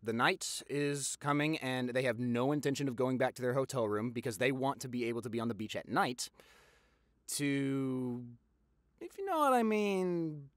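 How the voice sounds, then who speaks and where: A man playing a popular boyfriend eagerly telling gossip to his partner in a conversational audio roleplay, with dramatic pauses and repeats for emphasis. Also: mid-range voice, lively intonation, no echo, studio quality, natural voice. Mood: storytelling, suspenseful.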